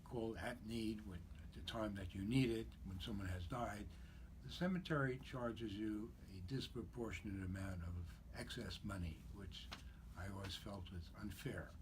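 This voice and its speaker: A man in his seventies with a lowish voice, explaining things in a steady, businesslike manner. He's a guest on a radio show, and there's a clear hiss of noise under the broadcast. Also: normal speed. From born in Czechoslovakia, raised in New York. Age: 70s. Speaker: guest, cemetery broker. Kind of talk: radio interview.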